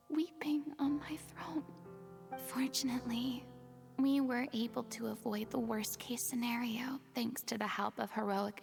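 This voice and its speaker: An actress playing a lonely, haunted young woman who was once a stand-in god in an anime game, speaking in a formal, wistful, confessional way. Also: high voice. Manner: confessional, formal, wistful, breathy at phrase ends. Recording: music behind. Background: game music.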